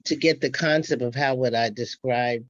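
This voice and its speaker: A woman's voice: very deep voice, moderate pace, dry recording. An older woman casually telling her recollections as a participant in a panel discussion, heard over a call. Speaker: workshop participant and writer. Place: remote call-in to online event. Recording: muffled, band-limited call audio.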